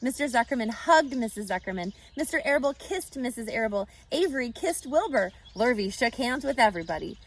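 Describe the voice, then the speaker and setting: A woman reading a children's story aloud expressively, pausing to explain and ask questions like a teacher. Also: fairly high pitch, lively intonation. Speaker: storybook reader.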